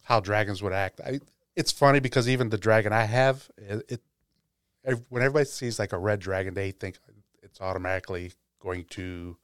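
A man's voice: on the low side, fairly quick, clean tone, no echo, studio quality. A man hosting a tabletop gaming podcast, speaking in a casual, chatty style. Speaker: podcast host.

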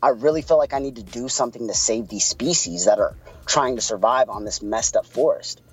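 A man with a Puerto Rican accent, co-hosting a podcast and telling stories in a relaxed, chatty way. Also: medium pitch, brisk, slightly rough, faint music behind, poor audio. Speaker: podcast co-host. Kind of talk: casual podcast storytelling.

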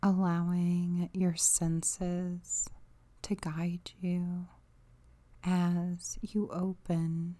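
A woman leading a guided meditation in a soft, breathy, half-whispered voice, soothing and unhurried, with pauses between phrases. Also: lowish voice. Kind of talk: guided meditation, softly spoken.

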